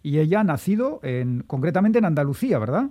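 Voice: medium pitch, big pitch swings, fast talker, lo-fi sound. A radio host asking questions and moving the interview along.

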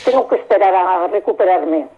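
A woman's voice: lowish voice, lively intonation, moderate pace, husky. An older woman from Álava in Spain, talking over the phone as a radio guest about her life abroad, plain-spoken and reflective, with many hesitations. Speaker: phone-in guest, emigrant in Norway. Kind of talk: casual radio interview. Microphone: telephone. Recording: tinny phone line.

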